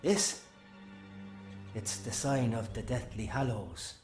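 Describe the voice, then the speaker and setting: An actor playing an odd, wary middle-aged wizard with a halting manner, in a dramatic game scene. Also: male voice, mid-range voice, a little mellow, faint music behind, lightly acted. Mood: distracted, uneasy. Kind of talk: dramatic film-style game acting.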